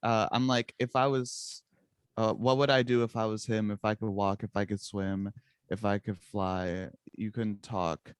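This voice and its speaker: A man's voice: on the low side, level tone, unhurried, clean tone, no echo. A young man whose first language is Czech, chatting casually as a guest musician, rambling and joking at his own expense. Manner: rambling, self-mocking, laughs often. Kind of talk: casual interview chat.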